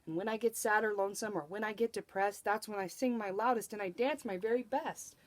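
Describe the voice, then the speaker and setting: A woman reading a storybook, voicing an earnest, hopeful male court jester in expressive rhyme. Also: medium pitch, fairly quick, close to an everyday voice. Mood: gentle, encouraging.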